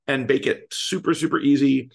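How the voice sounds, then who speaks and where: A man in his late fifties with a slightly hoarse voice, co-hosting a podcast in casual chat, dropping playful deadpan asides. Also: mid-range voice, moderate pace. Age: 50s. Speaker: podcast co-host.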